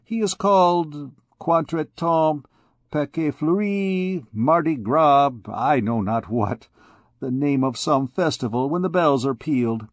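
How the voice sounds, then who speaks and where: A man's voice: on the high side, slow, dry recording. A man voicing a swaggering young army captain who talks in a boastful, blustering way.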